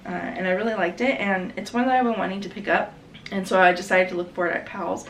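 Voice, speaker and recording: Book vlogger, female, voice still rough from a cold, chatty and eager, mic a bit distant, faint hiss. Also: medium pitch, a bit bright. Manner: chatty, enthusiastic, self-correcting. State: getting over a cold. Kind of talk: casual book haul chat. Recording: slightly distant mic, faint background noise.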